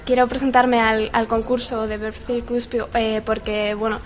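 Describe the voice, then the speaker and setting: A woman answering a casting question in a hesitant, halting way. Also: fairly high pitch, level tone, soft background music. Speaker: casting applicant. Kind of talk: casual interview answers.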